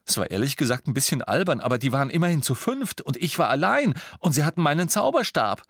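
Male reader as a teenage boy, raised pitch, lively intonation, defensive, loud, earnest, agitated, audiobook character. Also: measured pace, no room sound, clean studio sound. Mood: explaining.

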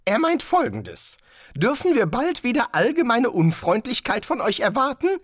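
A man's voice: very high voice, huge pitch swings, moderate pace, fairly bright tone, dry recording, clean studio sound, pitched above the performer's own voice, heavily acted. A man voicing a grumpy, nervous wizard in an audiobook. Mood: grumbling alarm. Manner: grumpy, alarmed, indignant. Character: grumbling, nervous male wizard. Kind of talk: audiobook character voice.

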